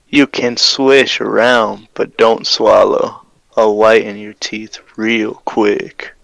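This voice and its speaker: A man playing an upbeat, cheeky pitchman in a 1920s-style radio commercial. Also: gravelly, a bit bright, no echo, rough recording. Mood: sly, suggestive. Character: upbeat, suggestive toothpaste pitchman in a vintage radio advert.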